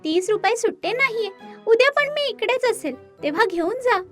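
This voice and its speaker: An earnest young schoolgirl, acted by a woman for an animated moral tale. Her voice is extremely high and she speaks emphatically, like a dutiful daughter. Soft music plays underneath. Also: normal speed. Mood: eager, insistent. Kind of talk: animated moral story character acting.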